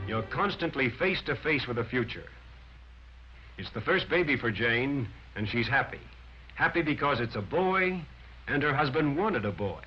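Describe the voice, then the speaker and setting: A man delivers scripted 1940s instructional film narration in an emphatic, declarative, instructive manner. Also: medium pitch. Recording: dull band-limited 1940s film soundtrack, music.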